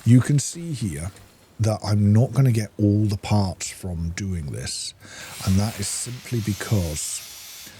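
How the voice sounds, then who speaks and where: A man with a fairly low voice talks through a video game as he plays. He sounds casual and unhurried, thinking aloud as he goes, with music clearly playing behind him. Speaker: gaming video host. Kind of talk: casual game playthrough commentary.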